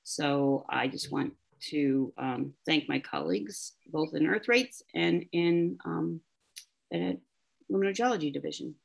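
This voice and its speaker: A woman's voice: sits low, a little slow, gravelly, breathy edge. A woman professor introducing herself on a video call, friendly and a little hesitant. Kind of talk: self-introduction.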